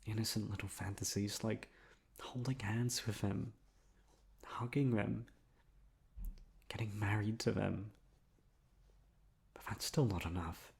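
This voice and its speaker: A man playing an obsessive, lovesick young man in an intimate ASMR roleplay, coaxing softly with drawn-out words and emphatic repetition. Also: fairly low pitch, fairly even intonation, gravelly. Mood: hushed, yearning, then wistful, coy. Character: obsessive lovesick young man confessing to his best friend.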